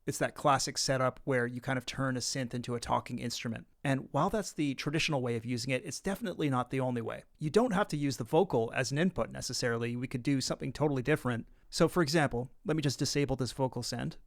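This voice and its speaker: A man narrating an instructional software tutorial, explaining things casually and step by step. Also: mid-range voice, fast, few pauses, no echo, clean studio sound.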